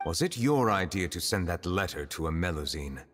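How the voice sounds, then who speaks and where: A man playing a calm, stern chief justice in a video game, speaking formally and with composure. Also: deep voice, moderate pace, in a large hall, soft background music. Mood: composed, stern.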